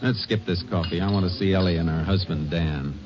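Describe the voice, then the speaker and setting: An actor playing a shrewd, steady Texas Ranger in a 1950s radio drama, terse, probing and matter-of-fact. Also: male voice, deep voice, fairly quick, loud music behind the voice, lightly acted. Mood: calm. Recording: muffled, noisy old radio recording.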